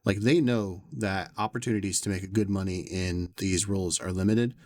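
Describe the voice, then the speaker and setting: Low-voiced man rambling to his stream. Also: fairly even intonation, normal speed, a little mellow, dry recording. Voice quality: upper bass to lower tenor range. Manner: rambling, talks to chat in long winding asides. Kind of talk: casual chat while streaming a game. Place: bedroom. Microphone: desk USB condenser mic with noise suppression.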